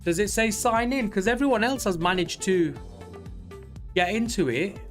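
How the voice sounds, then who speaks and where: A man of forty talking casually and candidly on a live trading stream, rambling with many pauses. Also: high voice, faint music behind. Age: 40s. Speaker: livestream day trader.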